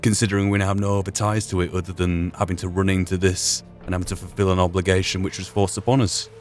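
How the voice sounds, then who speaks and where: Casting: male game commentator, fairly deep, reflective and halting, talking over faint background music. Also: little pitch movement, darkish tone. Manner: thoughtful, halting, thinking through asides. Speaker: gaming video host. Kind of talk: reflective playthrough commentary, musing aloud.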